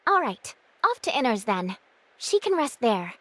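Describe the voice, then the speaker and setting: A woman playing a gentle, poetic, helpful young woman in an anime-style game. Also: fairly high pitch, sing-song, a little slow, no room sound, exaggerated voice.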